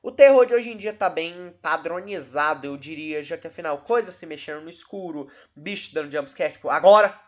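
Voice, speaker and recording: A man's voice: on the high side, brisk, hardly any pauses, slight background noise. Rambling, dryly sarcastic Brazilian man. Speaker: host of a video channel about horror cases. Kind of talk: casual, unscripted-sounding video commentary.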